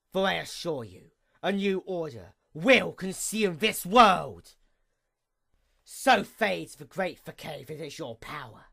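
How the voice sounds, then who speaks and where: Bitter dark pony goddess, voiced by a woman, sweeping intonation. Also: low voice, creaky, slightly rough, heavily acted. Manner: grandiose, brooding, theatrical, formal. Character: bitter, envious dark goddess pony, wronged younger sister. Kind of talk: dramatic monologue reading.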